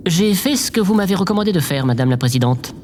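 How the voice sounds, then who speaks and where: A man's voice: high-pitched, a little mellow, a little hiss or hum. An actor playing a meek, awkward, eager male aide in a French audio drama, hesitant and deferential.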